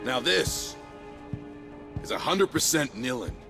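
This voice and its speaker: Gravelly, animated roguish bartender ally. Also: male voice, medium pitch, takes it slowly, music in the background, exaggerated voice. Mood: friendly, businesslike. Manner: folksy, familiar, affectionate banter. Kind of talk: video game voice acting.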